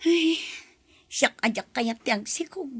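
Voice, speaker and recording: A distraught pregnant young woman with an Ilocano accent. Her voice is very high and sharp, recorded close and dry. She pleads meekly and plaintively, in the style of a radio drama. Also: animated, slow, breathy edge, no room sound, heavily acted. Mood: meek, pleading. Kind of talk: Ilocano audio drama acting.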